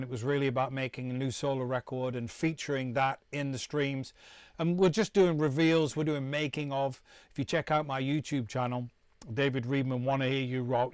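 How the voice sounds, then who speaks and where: A man's voice: mid-range voice, fairly even intonation, brisk, clean tone, no room sound. Middle-aged british guy rambling on a live stream, dry close sound. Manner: rambling, repeats words and restarts often. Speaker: musician hosting a live stream. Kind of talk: casual live stream chat.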